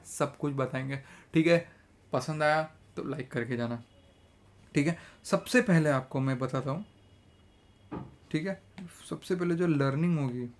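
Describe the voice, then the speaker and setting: A young man with a sore throat teaching a trading lesson, chatty and energetic, mixing English phrases into his talk. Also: medium pitch, creaky. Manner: energetic, mixes English phrases, repeats "theek hai". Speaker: trading educator. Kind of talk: casual trading tutorial in Hindi-English mix.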